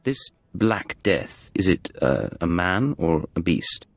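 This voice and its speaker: A man's voice: sits low, very animated, slow, dry recording, exaggerated voice. A man playing a cool, businesslike hired operative in a video game, controlled and matter-of-fact.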